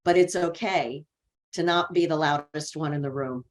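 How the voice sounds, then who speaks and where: A woman's voice: sits low, moderate pace. Encouraging woman hosting a webinar. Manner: warm, encouraging questions. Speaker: host. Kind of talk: webinar interview.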